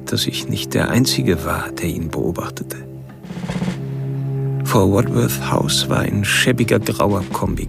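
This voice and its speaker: A gravelly, brooding killer narrates a radio play in inner monologue. He is coldly self-absorbed and speaks in pedantic, sardonic sentences. Music runs clearly behind him. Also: low-pitched. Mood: cool, watchful.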